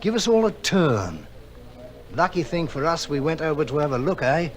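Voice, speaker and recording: British tugboat sailor, radio drama. High, lively voice, colloquial working-class yarn-spinner. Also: male voice, normal speed, noisy background. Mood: animated yarn-spinning. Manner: animated, colloquial storytelling.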